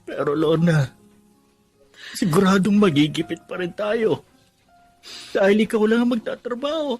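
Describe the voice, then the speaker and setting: A man's voice: high voice, a little slow, darkish tone, husky, soft background music. An actor playing a tenderhearted, hardworking Filipino husband and father in an earnest, emotional radio drama. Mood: pained, despairing.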